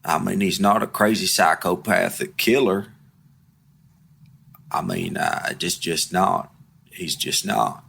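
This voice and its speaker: A young man, speaking in an interview, halting and repeating himself but blunt and emphatic. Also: deep voice, vocal fry, a bit bright, breathy edge. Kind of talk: candid interview.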